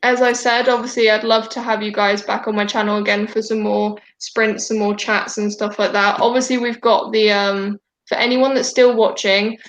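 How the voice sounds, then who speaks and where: A woman's voice: mid-range voice, little pitch movement, moderate pace, hardly any pauses, echoey room. A young woman hosting a casual video call chat, talking at length with many fillers and restarts. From Denmark. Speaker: host.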